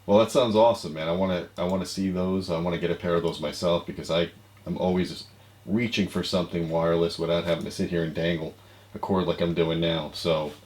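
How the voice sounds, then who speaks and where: A man's voice: deep voice, steady tone, quick pace, darkish tone. A man in his forties chatting casually as the co-host of a tech show. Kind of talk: casual chat. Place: home office on a video call, indoors.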